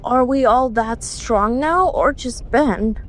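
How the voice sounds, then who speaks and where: Woman acting a high, slow-talking girlish friend. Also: a little hiss or hum. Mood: curious, earnest. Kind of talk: webtoon fan dub voice acting.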